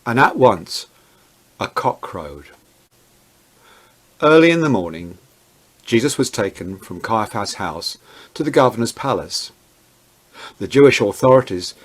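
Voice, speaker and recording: A man serves as narrator for a dramatized scripture reading. His mid-pitched voice moves up and down in an animated way through the scripted narration. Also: breathy edge. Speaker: narrator, Bible reader.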